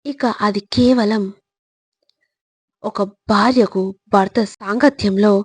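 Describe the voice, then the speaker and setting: Female motivational narrator: husky tone, mid pitch, measured emphatic phrasing, tight dry sound. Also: no echo.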